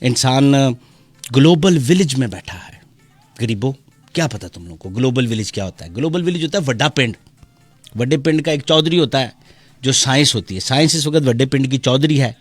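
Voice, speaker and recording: A man's voice: medium pitch, animated, dry recording. Joking Punjabi-accented Pakistani man, music behind. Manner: joking, mock-serious, teasing; switches between languages mid-talk. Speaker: live radio call-in show host. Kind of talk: playful live radio banter with comic monologue.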